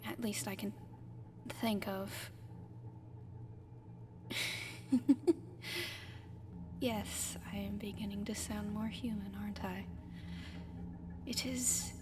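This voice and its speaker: Woman playing a gentle female alien, slow halting speech, mid-pitched, hesitant new learner of human language, ASMR roleplay, noticeable background noise. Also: natural voice. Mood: curious, halting. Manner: halting, hesitant, searching for words. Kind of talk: ASMR roleplay addressed to the listener. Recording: clearly noisy background ambience.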